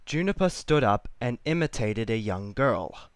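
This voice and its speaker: A man's voice: mid-range voice, expressive, unhurried, clean tone, no echo. A man narrates a scripted story in a mid-range voice. The sound is dry, with no room around it.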